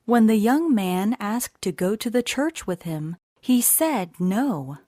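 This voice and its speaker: A woman narrating a scripted children's bedtime story in an expressive, deliberate way. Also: mid-range voice, no room sound, studio quality.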